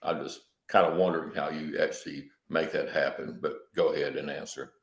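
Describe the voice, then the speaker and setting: An older man chatting casually as he asks a question, his voice lower than usual today. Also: little pitch movement, brisk, gravelly. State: voice unusually low today. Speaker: participant asking a question. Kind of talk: casual chat. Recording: roomy, echoing sound.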